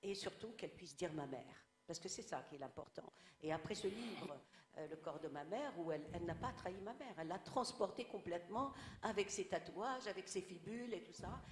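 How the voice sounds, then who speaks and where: A woman's voice: lowish voice, expressive, fairly quick, reverberant, big-room sound. A woman from Tunisia whose first language is Arabic, speaking as a writer on a discussion panel, reflective and full of stories. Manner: reflective, storytelling. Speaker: guest writer.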